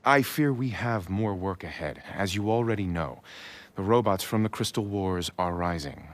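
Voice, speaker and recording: An actor playing a dignified, commanding old monk leader in an animated adventure game, solemn and formal. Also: male voice, low-pitched, moderate pace, lightly acted. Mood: grave. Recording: loud background music.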